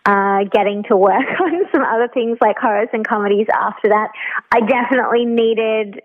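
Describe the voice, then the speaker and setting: A woman's voice: a little high, normal speed. A woman speaking as an interview guest over a telephone, chatting casually and thoughtfully with frequent hesitations. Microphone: phone call. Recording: thin telephone line.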